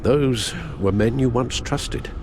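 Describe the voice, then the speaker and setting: An actor playing a loyal older butler, formal, measured and grave, weighed down by guilt in a video game drama. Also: male voice, mid-range voice, background noise, lightly acted. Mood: gentle concern.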